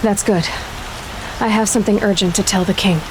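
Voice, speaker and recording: A woman's voice: mid-range voice, steady tone, a little fast, background noise, lightly acted. Direct, determined young huntress, acted by a woman. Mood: businesslike. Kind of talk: video game cutscene acting.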